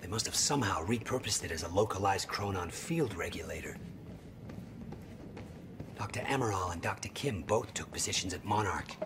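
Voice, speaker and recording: An actor playing an intense, insistent scientist in a cinematic video game, explaining urgently and often dropping to a hush. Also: male voice, low-pitched, expressive, normal speed, background noise. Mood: thoughtful. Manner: urgent, explanatory, breaking into hushed whispers. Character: intense, insistent male scientist, older brother of the hero.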